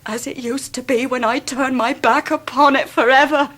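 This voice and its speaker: A woman's voice: high voice, fairly even intonation, normal speed, a bit bright. An actress playing a disgraced young Victorian woman, imploring and emotional in a radio drama. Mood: anguished. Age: young adult. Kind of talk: 1990s radio drama acting.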